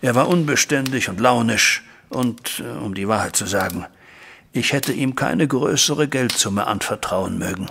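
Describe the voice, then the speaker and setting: An actor playing a troubled middle-aged banker, a widowed father, recounting events formally and deliberately in a German audio drama. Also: mid-range voice, vocal fry, a bit bright, a little hiss or hum. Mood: regretful. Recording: music bed behind voice.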